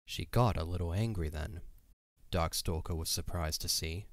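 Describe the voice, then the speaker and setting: A man's voice: deep voice, normal speed, dry recording, clean studio sound. A man narrating a scripted fantasy story in a dramatic audiobook style.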